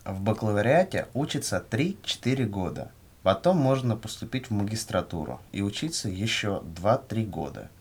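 A man reading scripted language-course answers in a measured, carefully enunciated way. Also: fairly low pitch, big pitch swings, creaky, husky. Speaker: language lesson reader.

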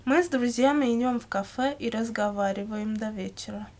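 A woman reading scripted language-course questions and answers in a measured, carefully enunciated way. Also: on the high side, flat delivery, darkish tone. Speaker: language lesson reader.

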